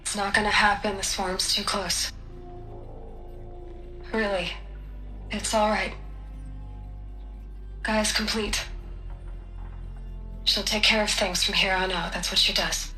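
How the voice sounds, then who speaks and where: Resigned but steadfast game scientist. Gentle, halting delivery, middle register. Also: female voice, mid-range voice, flat delivery, echoey room, faint music behind. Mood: resolute, calm. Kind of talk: video game dramatic acting.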